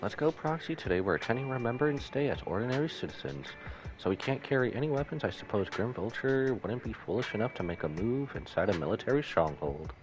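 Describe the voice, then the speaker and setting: A man's voice: mid-range voice, expressive, fast talker, lightly acted, poor audio. A man voicing a troubled, earnest young female soldier from a game, hesitant and apologetic. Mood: resolved. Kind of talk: game dialogue read aloud by a streamer. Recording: music behind the voice.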